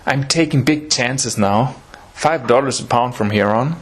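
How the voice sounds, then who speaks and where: A man playing a greedy, scheming small-town butcher in a horror audio drama, expressive and furtive. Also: medium pitch, measured pace, breathy edge. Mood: secretive.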